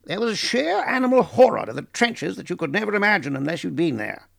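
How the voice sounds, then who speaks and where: A man voicing a middle-aged professor who speaks softly in a rapid rush of words, gravelly and earnest. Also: sits high, very animated, dry recording, clean studio sound, pitched above the performer's own voice, heavily acted.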